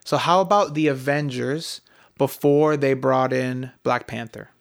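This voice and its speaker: A man's voice: medium pitch, a little slow, gravelly. A man co-hosting a talk show in casual, opinionated banter, speaking bluntly with jokes and swearing.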